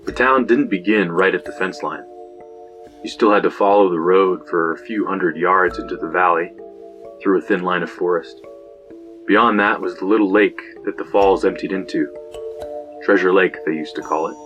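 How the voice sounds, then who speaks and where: An actor playing a young slacker who narrates a grim trespassing tale in a casual, profane, matter-of-fact way. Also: male voice, low-pitched, steady tone, moderate pace, fairly bright tone, muffled recording. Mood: brooding, hushed. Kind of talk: first-person audio drama narration. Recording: music bed behind the voice.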